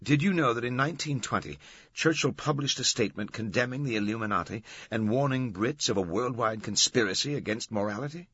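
A man's voice: mid-range voice, a little fast, a bit bright, breathy edge, no echo, highs cut off, voice pushed up. A man voicing a smug, insistent male tabloid reporter in an audiobook. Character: cocky, eager male tabloid news reporter.

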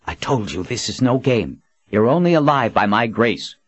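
A man's voice: medium pitch, big pitch swings, normal speed, no echo, muffled recording, voice pushed up. Man voicing a stern commanding woman leader. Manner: firm, threatening, controlled.

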